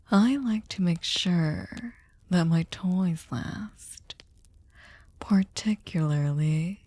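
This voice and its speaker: A woman playing a sadistic, possessive, centuries-old vampire lord in an intimate ASMR roleplay, speaking theatrically and tauntingly, often dropping into breathy whispers. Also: low voice, dark tone, no echo, full character voice.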